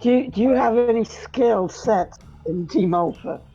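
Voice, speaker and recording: Husky, hesitant woman player. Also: on the high side, a little slow, mellow, soft background music. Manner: hesitant, stammering. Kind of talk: tabletop game roleplay. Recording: dull lo-fi recording.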